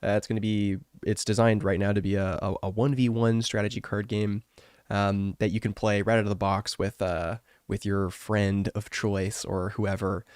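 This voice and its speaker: Man with a cold: congested, rough voice, lowish pitch, dry sound, rambling casual stream host. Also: flat delivery, moderate pace, darkish tone, no room sound. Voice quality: rough, wrecked voice. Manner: rambling and chatty, with many fillers. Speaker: artist and streamer. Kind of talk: casual live-stream chat.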